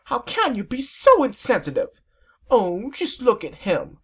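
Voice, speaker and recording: A woman's voice: a little high, huge pitch swings, a little slow, breathy edge, pitched above the performer's own voice, heavily acted. A woman voicing a refined, fashion-minded young pony mare in a fan story, gushing and theatrical.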